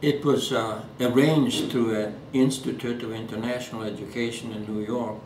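An elderly man in his eighties from Norway, speaking English with a Norwegian accent in an oral history interview at home, halting with many fillers and restarts. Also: mid-range voice, steady tone. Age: 80s. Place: living room at home. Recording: echoey room, distant mic, background hiss.

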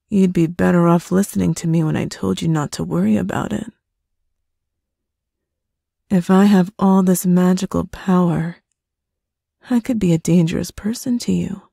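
A woman's voice: fairly low pitch, vocal fry, mellow, breathy edge, no echo, studio quality, exaggerated voice. A woman playing a playful, possessive witch girlfriend in an ASMR roleplay, teasing and coy with a sweetly threatening edge.

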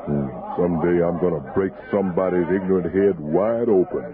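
A man's voice: deep voice, brisk, phone-quality sound, natural voice. An actor playing a big, proud, grumbling frontier expedition man with a folksy, blunt way of talking in a radio drama. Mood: indignant. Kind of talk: 1960s radio drama acting. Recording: band-limited old radio, crowd behind.

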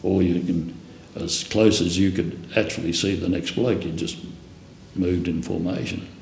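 A man's voice: sits low, fairly even intonation, measured pace, vocal fry, darkish tone. An Australian man in his seventies, a veteran giving a rambling, colloquial, plain-spoken interview. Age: 70s. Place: indoor room, seated. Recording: echoey room, faint noise.

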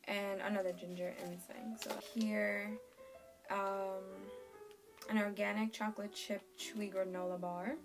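A young woman in her twenties with a croaky, sore-throated voice, chatting casually to camera as she unboxes a subscription box in her bedroom. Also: medium pitch, flat delivery, unhurried, over music. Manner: chatty, reads product labels aloud. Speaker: beauty and lifestyle vlogger. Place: small bedroom in front of a red wall.

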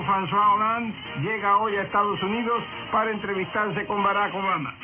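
A man with a high voice presents a radio news bulletin. He speaks as a program host reading prepared copy to his listeners. The sound is thin and narrow shortwave, with clear music playing underneath. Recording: tinny shortwave radio broadcast with music behind.

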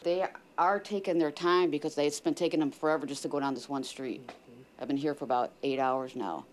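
A woman's voice: low voice, steady tone, a little fast. A middle-aged woman, an evacuated resident, speaking in her home in an emotional, unpolished way. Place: indoors at home.

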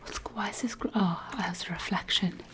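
A woman's voice: mid-range voice, fairly even intonation, moderate pace, fairly bright tone. A woman whispering playful, bossy commentary while playing a life-sim game. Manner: whispering, wry and bossy toward game characters. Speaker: gamer.

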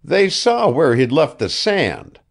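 A man's voice: fairly high pitch, wildly swooping pitch, slow pace, creaky, slightly rough, voice pushed up, heavily acted. A man voicing a harsh old ship owner. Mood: curt. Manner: harsh, impatient, clipped. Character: shrewd older male whaling ship owner, curt, evasive. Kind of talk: audiobook character reading.

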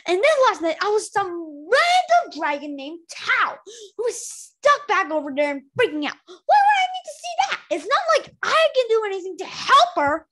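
A woman's voice: extremely high pitch, big pitch swings, normal speed, a bit bright, pitched above the performer's own voice, exaggerated voice. A woman voicing an irritable, proud young dragon queen from a storybook, snappish, emphatic and indignant. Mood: exasperated, indignant.

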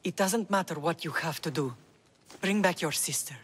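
A woman's voice: fairly low pitch, moderate pace, slightly rough. Earnest, solemn Greek mother. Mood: pleading, solemn. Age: middle-aged. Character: earnest, yearning middle-aged Greek mother. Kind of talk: video game cutscene acting.

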